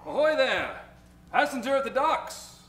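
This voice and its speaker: A man's voice: extremely high pitch, very animated, a little slow, a bit bright, echoey room, full character voice. A man playing a chatty, eager small-town cab driver in an old-time radio comedy, with folksy, theatrical delivery.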